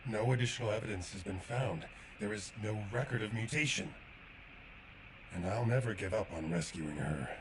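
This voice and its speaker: An actor playing a grave, devoted warrior in a sci-fi video game, speaking in an earnest, measured way. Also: male voice, lowish voice, noisy background, lightly acted. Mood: resolute. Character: grave, devoted male sci-fi warrior grieving lost comrade. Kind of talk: video game quest dialogue.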